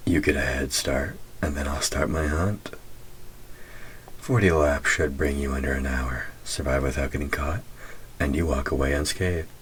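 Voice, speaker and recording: An extremely deep male demon voiced by a man speaks directly to the listener in an audio roleplay. He taunts and teases like a predator toying with a trainee hunter, with menace under the words. A faint background noise sits behind the voice. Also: normal speed, fairly bright tone. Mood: smug menace. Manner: teasing, menacing, drops into whispers.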